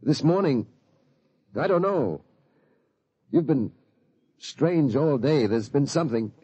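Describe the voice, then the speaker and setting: A man's voice: on the high side, lively intonation, slow pace, mellow, slightly rough, no room sound, exaggerated voice. An actor playing a worried middle-aged lawyer and father in a 1940s radio drama, stammering and flustered. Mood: bewildered. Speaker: father of the boy. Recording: lo-fi old radio recording.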